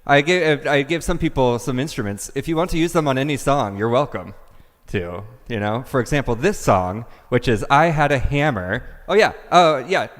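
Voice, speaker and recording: A man's voice: mid-range voice, expressive, normal speed, few pauses. Chatty man in a reverberant sanctuary. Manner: chatty, loose, rambling. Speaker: song leader. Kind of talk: casual announcements. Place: large church sanctuary. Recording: reverberant hall.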